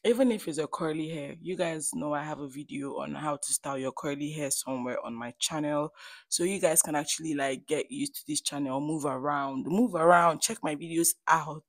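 Female beauty vlogger, Nigerian Igbo accent, low pitch, dry close sound; chatty, playful, emphatic tutorial delivery. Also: brisk, no room sound. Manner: playful, emphatic, addresses viewers directly. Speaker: hair stylist and beauty vlogger. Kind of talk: chatty tutorial voice-over to viewers.

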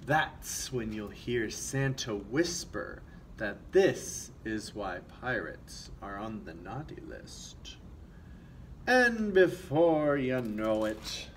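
Slow, animated man reading a storybook. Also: lowish voice, low-quality recording. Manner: expressive, with commentary to young listeners. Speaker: storytime reader. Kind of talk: children's picture book read-aloud. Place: small office room, indoors. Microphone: camera a few feet away. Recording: faint background noise.

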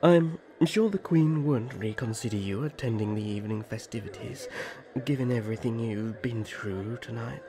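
A man playing a flirtatious, teasing rival elf prince in a fantasy audio drama, with a smooth, mocking courtesy. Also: mid-range voice, moderate pace, dark tone, natural voice.

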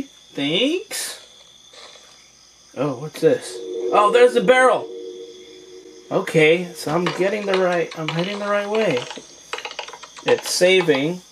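A hoarse young man casually reviewing a VR headset at home, exclaiming through gameplay. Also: on the high side, background noise. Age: young adult. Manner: excited exclamations, chatty. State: hoarse from being sick. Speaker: tech reviewer and VR gamer. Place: small home room with shelves, indoors.

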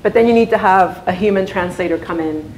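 A woman's voice: mid-range voice, a little fast, darkish tone. A woman in her thirties, raised in Spain and Spanish-speaking from birth, giving a conference presentation in a chatty way with frequent fillers and restarts. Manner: conversational, many fillers and restarts. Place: large conference room. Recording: echoey conference room, faint hum.

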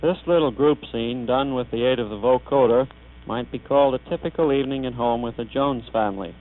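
A man formally presenting a technical demonstration in a measured way, in a tinny old recording with hum. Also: mid-range voice. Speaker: demonstrator, announcer.

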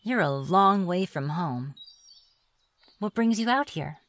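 A woman's voice: lowish voice, lively intonation. A woman playing a reclusive, flirtatious witch in an ASMR fantasy roleplay, with a playful, mocking manner. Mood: coy, teasing. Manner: playful, mocking, drawn-out taunting. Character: reclusive, teasing, flirtatious witch of the deep woods.

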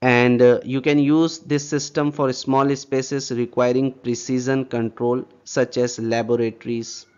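A man's voice: medium pitch, level tone, unhurried, hardly any pauses, a little mellow, clear, resonant tone, muffled recording. A man lecturing on air distribution systems over slides, repeating points and checking in with "okay" and rhetorical questions. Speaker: HVAC instructor. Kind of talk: slide-based technical lecture.

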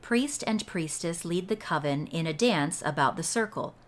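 A woman reading aloud from a scripted ritual text in a measured, deliberate way. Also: fairly low pitch, expressive. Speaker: read-along host and reader. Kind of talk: slow scripted read-aloud of a ritual book.

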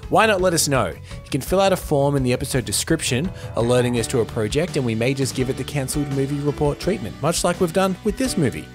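A man's voice: mid-range voice, expressive, fast, hardly any pauses. A man in his thirties hosting a casual film podcast, speaking into a close microphone. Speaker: podcast host. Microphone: close studio microphone. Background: music.

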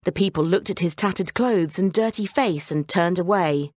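A woman narrating a scripted children's bedtime story in an expressive storytelling manner. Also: lowish voice, normal speed, no room sound, studio quality.

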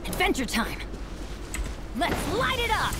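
A woman's voice: very high-pitched, huge pitch swings, slow pace, noisy background, exaggerated voice. A woman playing young, spirited anime game adventurers, calling out dramatic, exclamatory battle cries in a video game. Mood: eager, bold. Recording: game voice under loud music.

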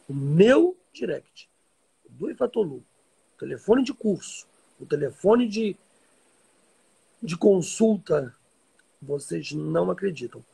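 Gravelly, high-pitched Brazilian man. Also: mellow. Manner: emphatic, repeats phrases for stress. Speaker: religious teacher hosting a live stream. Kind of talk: informal live-stream talk answering followers. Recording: livestream audio reposted from social media.

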